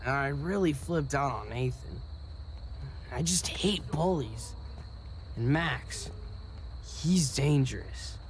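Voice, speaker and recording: A nerdy young male student, awkward and a bit tipsy, talking in a halting, self-deprecating way. It is video game acting with faint night ambience behind. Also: mid-range voice, lively intonation, slight background noise. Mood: uneasy.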